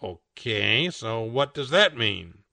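A man's voice: mid-range voice, big pitch swings, slow pace, vocal fry, sharp tone, no echo, heavily acted. A man voicing a cocky, belligerent cartoon cat with a grumbling, defiant manner. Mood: defensive.

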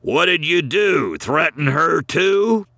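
An angry middle-aged father, gruff and bullying, demanding answers, as a man reading a story puts him on. His voice sits a little above the narrator's own and sounds bright and forceful. The recording is close and dry. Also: fairly high pitch, lively intonation, slow pace, no echo, voice pushed up, full character voice.

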